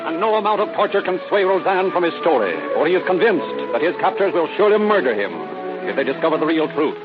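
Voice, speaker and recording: Fast, dramatic male radio narrator. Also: fairly high pitch, lively intonation. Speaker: narrator and announcer. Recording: dull old radio broadcast. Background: music.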